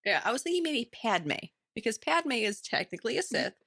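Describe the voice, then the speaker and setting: A woman's voice: a little high, sing-song, brisk, creaky, fairly bright tone, slightly rough, no echo, studio quality. A woman co-hosting a podcast, talking casually and with enthusiasm about what she reads. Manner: informal, enthusiastic. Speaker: podcast co-host. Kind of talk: casual book-club chat.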